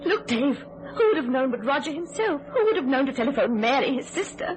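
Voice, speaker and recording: An actress playing a distraught, guilt-ridden wife in a radio thriller, overwrought and tearful. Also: female voice, high voice, sing-song, brisk, background noise. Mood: tearful, frantic.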